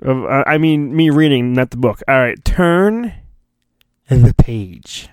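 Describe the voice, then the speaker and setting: A man's voice: medium pitch, expressive, takes it slowly, darkish tone, no echo, clean studio sound. A man hosting a podcast, giving a mock-dramatic storybook reading with theatrical, self-mocking asides. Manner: theatrical, self-mocking, often breaks off to mutter. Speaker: podcast host and reader.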